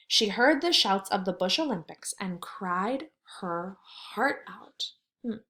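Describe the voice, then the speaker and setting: A woman teacher doing a read-aloud for kindergarteners, wondering aloud and asking simple questions in an expressive, child-directed way. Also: fairly high pitch.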